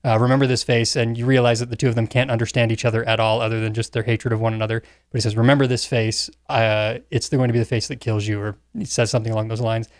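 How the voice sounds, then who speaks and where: A man co-hosting a casual film discussion, piecing his thoughts together with pauses. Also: medium pitch, little pitch movement, dry recording, studio quality. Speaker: podcast co-host.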